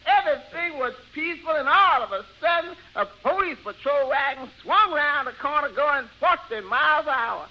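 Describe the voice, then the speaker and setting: An actor playing a breezy, shifty lawyer in a radio sitcom, chattering along and repeating himself. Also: male voice, very high-pitched, expressive, moderate pace, hardly any pauses. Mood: breezy, chatty. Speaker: comic supporting actor. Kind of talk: 1940s radio sitcom acting. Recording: muffled old radio recording.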